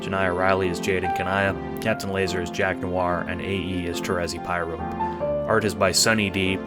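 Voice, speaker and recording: A man's voice: deep voice, level tone, a little fast. A man narrating a scripted second-person audiobook in a dramatic storytelling manner. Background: music.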